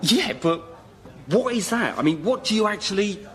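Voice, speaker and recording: An actor playing a baffled, nagging ordinary bloke in a radio comedy sketch, matey, halting and repetitive. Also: male voice, high-pitched, animated, background noise. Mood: baffled, insistent. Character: baffled, nagging ordinary bloke questioning his rich best mate.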